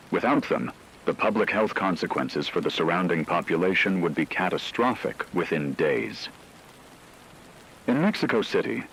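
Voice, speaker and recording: A man reading a scripted emergency news bulletin in a grave, measured, formal way, as a radio announcer. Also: deep voice, a bit bright, slight background noise, poor audio.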